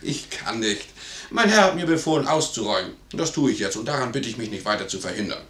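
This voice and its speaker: A blunt manservant played by a man in a classical radio play. He is insolent, stubborn and dry, with a medium-pitched voice in a roomy space. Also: lively intonation, fast talker, fairly bright tone, a little hiss or hum, close to an everyday voice.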